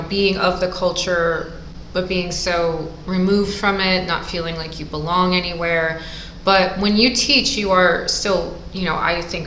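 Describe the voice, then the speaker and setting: Bright-voiced woman host in an echoey room. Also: on the low side. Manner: effusive, enthusiastic introductions. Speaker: interview host. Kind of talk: casual interview hosting. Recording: echoey room with faint noise.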